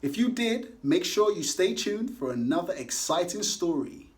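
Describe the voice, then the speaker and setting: A man reading a picture book aloud to children, animated and coaxing, stopping to ask the kids questions. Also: high-pitched, moderate pace. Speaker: children's story reader.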